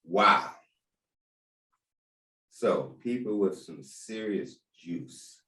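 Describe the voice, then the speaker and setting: Man acting a lively, blunt detective, echoey distant sound. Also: fairly low pitch, very slow, heavily acted. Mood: skeptical, prodding. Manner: blunt, emphatic questioning. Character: blunt, skeptical police detective. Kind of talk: screenplay table read acting. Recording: roomy, echoey, far from mic.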